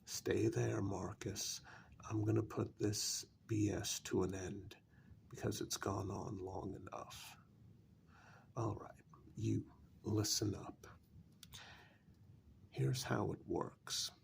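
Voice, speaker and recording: A man playing a calm, frank vampire in a soft-spoken, halting ASMR role-play, often dropping close to a whisper. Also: fairly low pitch, creaky, a little hiss or hum, full character voice. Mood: firm. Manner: slow, deliberate, halting with long pauses.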